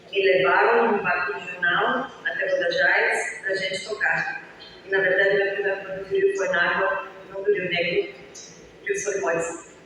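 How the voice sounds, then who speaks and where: A woman from Bulgaria, talking reflectively as a musician interviewee. She pauses often with drawn-out fillers. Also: mid-range voice, fairly bright tone. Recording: echoey, long-reverb room, mic far off, faint hiss.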